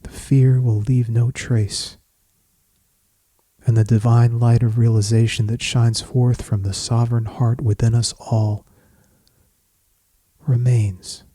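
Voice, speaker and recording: Male meditation guide: very slow, even delivery, soothing and solemn, with long pauses. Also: medium pitch, dry recording. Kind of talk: guided meditation, scripted poetic narration.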